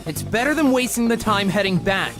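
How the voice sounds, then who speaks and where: Woman acting an earnest, very animated game protagonist. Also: mid-range voice, a little fast, over music, natural voice. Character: earnest, pleading young protagonist on the run. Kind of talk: visual novel game acting.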